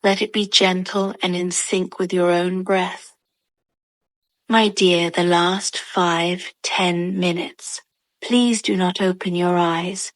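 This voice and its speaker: A woman's voice: lowish voice, flat delivery, slow pace, no room sound, rough recording. A woman narrating a guided meditation in a soothing, instructional way.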